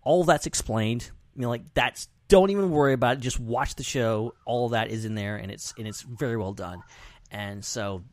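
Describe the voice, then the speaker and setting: A man's voice: mid-range voice, normal speed, no echo, studio quality. A man hosting a podcast in casual, rambling, enthusiastic conversation. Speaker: podcast host.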